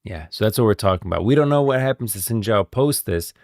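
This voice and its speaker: A man's voice: lowish voice, expressive, quick pace. A man streaming game lore commentary, moving between scripted narration and off-the-cuff explanation.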